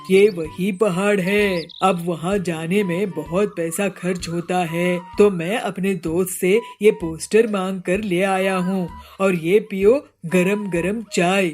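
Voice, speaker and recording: A woman's voice: lowish voice, darkish tone. A woman voicing a stingy, scheming male cartoon bird who talks in a bossy, self-satisfied, wheedling way. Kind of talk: cartoon voice acting.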